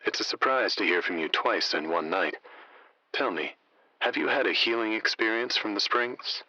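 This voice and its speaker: An actor playing a suave, wry late-night radio host in a comedy audio drama, teasing callers with mock solemnity. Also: male voice, on the low side, normal speed, bright, forceful tone, dry recording, dull audio. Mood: wry, inviting.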